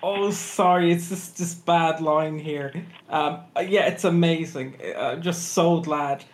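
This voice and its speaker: A man with a high voice chats casually as he makes a prank call. He speaks easily and keeps it friendly. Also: unhurried. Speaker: prank caller.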